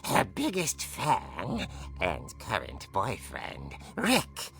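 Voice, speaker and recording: A woman's voice: a bass voice, wildly swooping pitch, vocal fry, fairly bright tone, exaggerated voice. A woman voicing a ghoulish old storyteller from a horror comic in a video game, theatrical and gleefully macabre. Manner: theatrical, drawn-out delivery. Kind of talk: campy horror narration.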